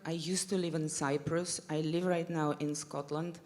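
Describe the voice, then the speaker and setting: Woman, panelist, lower pitch, self-introduction, reverberant hall. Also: level tone, moderate pace, darkish tone. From Russia. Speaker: network director, panelist. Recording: echoey hall.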